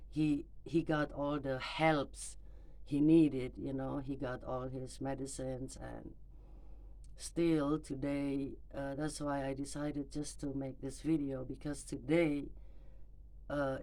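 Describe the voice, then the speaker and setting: Halting, slow-talking non-native woman. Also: very deep voice, gravelly, mellow, poor audio. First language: neither English nor German. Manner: halting, hesitant, many fillers and restarts. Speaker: mother vlogger. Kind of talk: personal vlog monologue. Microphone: phone camera.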